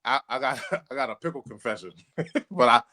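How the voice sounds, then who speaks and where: A man's voice: mid-range voice, pushed and bright. Male podcast co-host, casual and playful, loose profane banter, laughs easily. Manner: playful, profane, quick to crack up.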